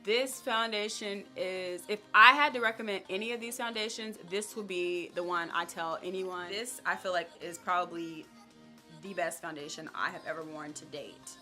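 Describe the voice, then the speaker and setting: A young woman casually chatting to camera as a beauty vlogger, upbeat and talking straight to her viewers, her voice a little raspy. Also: on the low side, fairly even intonation, normal speed, bright, forceful tone, faint music behind. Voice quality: slightly raspy.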